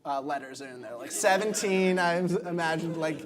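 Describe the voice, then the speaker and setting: A man's voice: fairly high pitch, a little fast, crowd noise. A man from the East Coast hosting a comedic interview show in front of a live audience, teasing and laughing with his guest. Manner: joking, laughing, playful teasing. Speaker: talk show host. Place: small theater stage with live audience.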